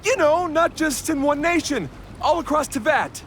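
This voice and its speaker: A man's voice: very high voice, lively intonation, normal speed, fairly bright tone, a little hiss or hum, heavily acted. A man playing a timid, pleading young bandit henchman in a video game. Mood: confessing.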